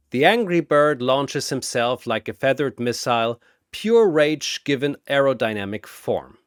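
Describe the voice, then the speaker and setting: A man narrating a fantasy battle in a bombastic, theatrical style with drawn-out emphasis, like an arena announcer. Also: mid-range voice, no echo, studio quality.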